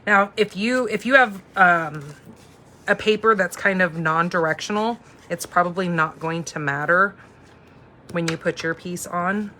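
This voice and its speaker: Woman, 40s, craft livestream host: slightly low, bright voice, chatty think-aloud delivery, noticeable background noise. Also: a little slow. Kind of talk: casual live craft demo chat.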